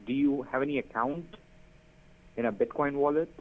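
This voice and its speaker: Man, mid pitch, insistent, repeats himself, broken phrasing, tinny phone line. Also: moderate pace. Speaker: phone scammer. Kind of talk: scam phone call.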